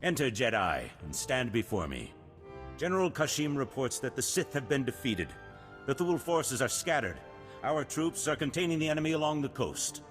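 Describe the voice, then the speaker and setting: An actor playing a noble ruler with formal, grand oratory in a video game. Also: male voice, medium pitch, natural voice. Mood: proud, triumphant. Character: stately, grateful male noble ruler.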